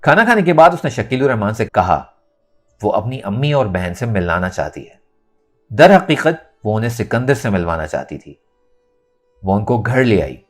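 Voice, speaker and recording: A man narrating a scripted novel in a dramatic, expressive storytelling manner. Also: lowish voice, moderate pace, faint music behind. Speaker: audiobook narrator.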